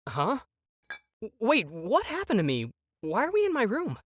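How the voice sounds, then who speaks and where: A man's voice: sits high, wildly swooping pitch, moderate pace, no room sound, exaggerated voice. A man playing an anxious, bookish young prince in a dramatic fandub, with a hesitant, overwrought delivery. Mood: flustered. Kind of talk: dramatic fandub acting with inner-monologue narration.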